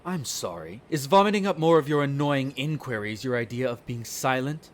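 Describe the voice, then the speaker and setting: A man playing a smug, bitter vampire noble, the eldest son, in an audio roleplay, speaking in a haughty, sardonic way with drawn-out theatrical phrasing. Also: mid-range voice, animated, a little hiss or hum, natural voice. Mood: irritated, curt. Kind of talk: scripted audio roleplay acting addressed to the listener.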